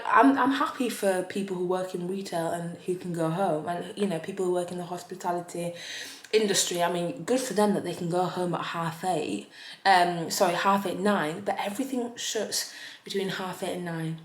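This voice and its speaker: A woman's voice: on the low side, moderate pace, echoey room, slight background noise. Chatty woman vlogger. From Leeds, northern England. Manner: rambling and animated, lots of fillers, exclaims in disbelief. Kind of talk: casual chatty vlog while doing makeup.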